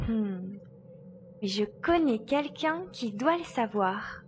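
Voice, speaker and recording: Woman playing a very high-voiced, bold young girl adventurer. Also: animated, takes it slowly, a little hiss or hum. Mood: pensive. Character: bold, quick-thinking young girl adventurer, loyal and decisive. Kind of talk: French audio drama acting.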